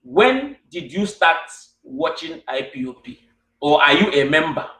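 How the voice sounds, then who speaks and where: A man's voice: on the high side, sing-song, a little slow, a bit bright. A man from Cameroon with a West African accent, speaking in earnest, repetitive declarations as an interviewer. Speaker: interviewer and host. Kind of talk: earnest monologue and interview questions. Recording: distant, echoey room sound.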